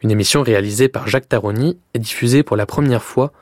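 Measured male radio presenter. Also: mid-range voice, darkish tone, dry recording, studio quality. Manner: measured, literary. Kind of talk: scripted radio narration.

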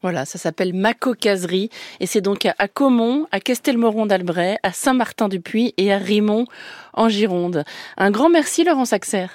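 A woman's voice: medium pitch, lively intonation, moderate pace, darkish tone, dry recording. A woman with an accent from France hosting a radio programme, interviewing and presenting in a studio. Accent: French from France. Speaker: radio show host.

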